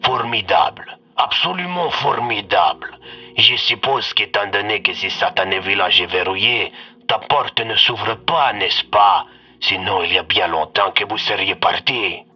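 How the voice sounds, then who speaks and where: A man voicing a mocking, sadistic, falsely friendly villain in an audio drama, slow and theatrical, over a radio. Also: fairly low pitch, slightly rough, muffled recording. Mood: smug, amused. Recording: band-limited radio sound with music.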